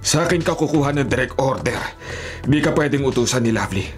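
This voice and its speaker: A man's voice: medium pitch, lively intonation, fast, natural voice. Man acting firm middle-aged mayor, music behind. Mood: stern. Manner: firm, authoritative. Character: strict middle-aged town mayor and protective father. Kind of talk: Filipino radio drama acting. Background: background music.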